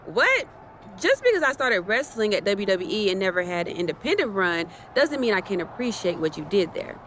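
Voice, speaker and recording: A swaggering champion wrestler in a game cutscene. Her voice swings freely in pitch, brash and playful, over faint background noise. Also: sing-song, normal speed, fairly bright tone. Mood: cocky, playful. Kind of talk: naturalistic video game cutscene acting.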